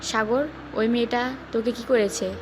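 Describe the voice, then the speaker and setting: A woman's voice: fairly high pitch, fairly even intonation, moderate pace, noisy background, lightly acted. A woman playing a protective, scheming elder sister in an audio love-story drama, firm and full of advice. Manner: firm, advising.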